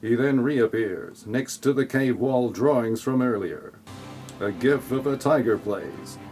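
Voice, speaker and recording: A man's voice: medium pitch, a little slow, a little mellow, husky. Wry male narrator over faint music. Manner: wry, flippant. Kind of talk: scripted comic narration of an audio play.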